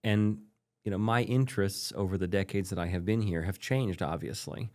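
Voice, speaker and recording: A man's voice: deep voice, moderate pace, darkish tone. A man around forty, a native Polish speaker, interviewing casually as an interested, affirming listener.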